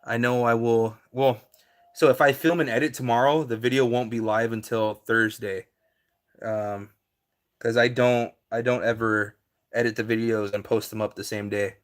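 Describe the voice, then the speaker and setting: A man's voice: mid-range voice, level tone, normal speed. A man, hosting a casual live stream and answering his viewers' chat in a laid-back way, with lots of fillers.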